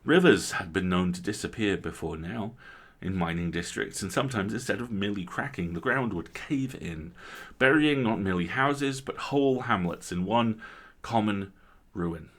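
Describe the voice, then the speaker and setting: A man narrates a literary tale in a deep voice with plenty of fry. His phrasing is theatrical and emphatic, set off by deliberate pauses. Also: lively intonation, fairly bright tone. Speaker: story reader, host.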